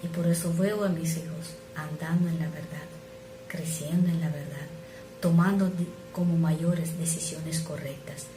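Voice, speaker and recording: A middle-aged woman who is not a native speaker, preaching an earnest, measured sermon that sometimes drops to soft asides. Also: low voice, fairly even intonation, over music. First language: not Spanish. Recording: echoey room with noise.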